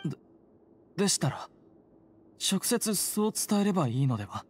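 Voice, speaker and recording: A woman's voice: fairly low pitch, sing-song, normal speed, slight background noise. A woman voicing an earnest, timid phantom hunter in a Japanese game, hesitant, polite and formally apologetic. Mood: hesitant, flustered. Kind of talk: Japanese anime game voice acting. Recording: music behind the voice. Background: game music.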